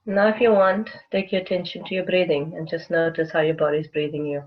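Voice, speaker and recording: Soothing unhurried meditation guide, muffled. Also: medium pitch, flat delivery.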